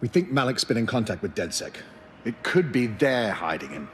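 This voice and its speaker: An actor playing a deferential intelligence staffer in a video game, speaking formally and carefully. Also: male voice, medium pitch, very animated, normal speed, a bit bright, a little hiss or hum. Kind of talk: video game cutscene acting.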